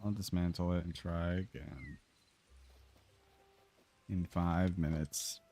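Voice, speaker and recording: Low-pitched man casually streaming a game. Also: steady tone, takes it slowly, dark tone. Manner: informal, sweary, muttering to himself while playing. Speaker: live streamer playing a video game. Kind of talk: casual gaming livestream chat.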